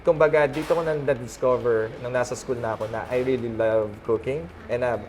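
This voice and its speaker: A man in his thirties from the Philippines, a chef and restaurant owner, answering interview questions in a mix of English and Tagalog with an easygoing, halting manner. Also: mid-range voice, fairly even intonation, a little mellow, slight background noise.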